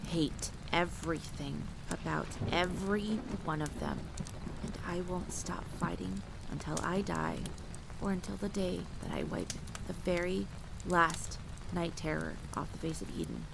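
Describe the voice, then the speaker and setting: A grim female monster hunter, acted by a woman in a low, gravelly voice, hardened and dryly sardonic. She speaks with deliberate intensity, as in a fantasy audio drama, with steady rain clearly audible around her. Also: noisy background. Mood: bitter hatred. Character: grim, bitter woman vampire hunter, sharpshooter with dry wit. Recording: steady rain and campfire ambience. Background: rain ambience.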